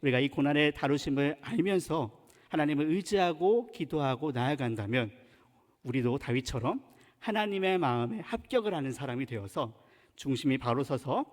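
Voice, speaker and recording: A man's voice: a little high, lively intonation, moderate pace. A male pastor from Chungcheong with a Chungcheong Korean accent, preaching a sermon in an earnest, emphatic manner. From Chungcheong, South Korea. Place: church sanctuary. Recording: long reverb in church sanctuary.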